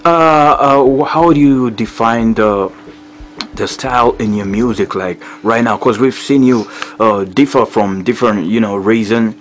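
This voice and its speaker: A man's voice: fairly low pitch, unhurried. Guy interviewer stumbling thru questions, lots of uh and mm-hmm, faint hum. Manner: hesitant, many fillers and restarts, frequent "mm-hmm".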